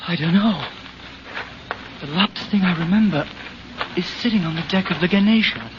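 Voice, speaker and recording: An actor playing a dazed, fearful Indian prince in an old-time radio drama, with a theatrical, trembling manner. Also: male voice, sits high, normal speed, lightly acted. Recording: dull, noisy old radio recording.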